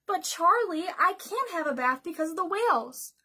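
A storybook little girl, acted out by a woman, with a high voice. She is stubborn and imaginative. She insists on her excuses at every turn. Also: expressive, normal speed, bright, forceful tone, full character voice. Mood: matter-of-fact excuses.

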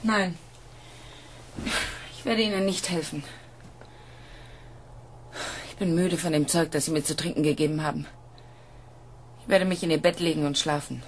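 A woman acting a cool, proud, seductive android in an intimate radio drama, with deliberate delivery. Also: deep voice, a little hiss or hum, lightly acted. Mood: cool, weary.

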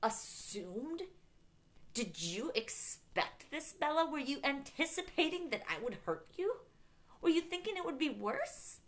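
A woman voicing a guilt-ridden, brooding young immortal man in an audiobook, with low, intense, icy reproach. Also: a little slow, gravelly. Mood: livid.

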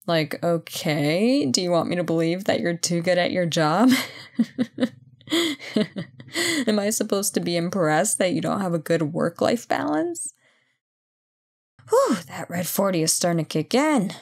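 A woman playing a brisk, playful company boss in an audio roleplay job interview, chatty and full of wry asides. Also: fairly low pitch, a little mellow. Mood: wry, friendly. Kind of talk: ASMR roleplay, one-sided conversation.

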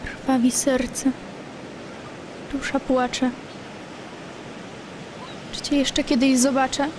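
A woman's voice: high-pitched, flat delivery, drawn-out words, background noise. An actress playing a sorrowful, longing woman in love, reciting poetry mournfully in an audio drama.

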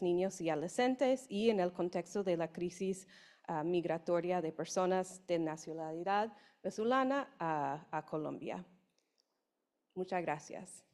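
A woman in her forties, a non-native speaker, introducing panelists at a conference with a handheld mic, halting and hesitant. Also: on the low side, darkish tone. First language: English. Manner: hesitant, halting, slow with restarts. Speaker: session moderator. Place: conference room on stage.

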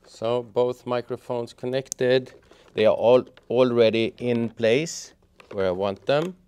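A middle-aged man giving a casual hands-on tutorial, explaining step by step in a halting way. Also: mid-range voice, darkish tone, smooth. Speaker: host of a how-to motorcycle gear channel. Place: indoors, office workshop room at a table.

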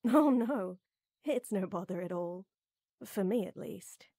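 A woman playing a tall, strong lady knight who flirts and teases softly and coyly in a roleplay audio drama. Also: mid-range voice, expressive, slow, dry recording, studio quality. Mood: caring, reassuring. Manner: teasing, coy, soft and intimate with chuckles.

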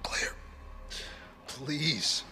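An actor playing a badly wounded, selfless police officer in a video game, weak, pained and breathless. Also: male voice, extremely slow, pushed and bright, faint music behind, heavily acted. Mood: pained, pleading. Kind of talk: cinematic game dialogue.

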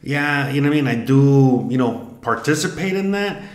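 A man's voice: medium pitch, lively intonation, normal speed. A man, musing aloud in a rambling, filler-heavy way while taking an online quiz on a desk webcam. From Miami, Florida, US. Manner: rambling, many fillers and restarts. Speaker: vlogger. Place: home room, indoors. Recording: echoey room.

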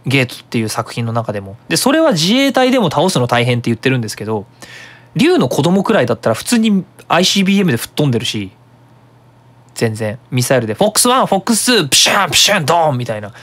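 A man hosting a web radio show, explaining his opinions in a casual, animated monologue. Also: a little high, fast talker.

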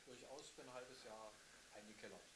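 A man's voice: mid-range voice, moderate pace, a bit bright, slightly rough, low-quality recording. A man in his sixties from eastern Germany speaks casually from a seat on stage, away from the microphone. His answers are short and laconic. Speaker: guitarist in a duo. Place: small theatre or cinema hall with red seats.

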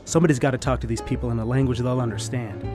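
A man's voice: mid-range voice, steady tone, very fast, natural voice. Radio drama barman, played by a man: plainspoken, streetwise, kindhearted, mid-range voice over guitar. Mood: wry, friendly. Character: blunt, kindhearted 1950s nightclub bartender.

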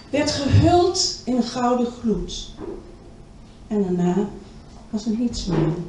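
A middle-aged woman telling a story live on a small stage into a handheld mic, expressive and dramatic, with long pauses. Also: on the high side, slight background noise. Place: small indoor stage with curtains. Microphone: handheld microphone. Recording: roomy distant live stage recording.

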